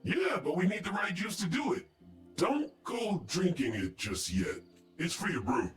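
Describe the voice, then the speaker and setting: Animated, higher-pitched teasing male witch. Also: a little slow, reverberant, faint music behind, heavily acted. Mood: playful, instructive. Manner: playful, expressive, teasing. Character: masked male witch, teasing, secretive, confident mentor. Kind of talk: video game character acting.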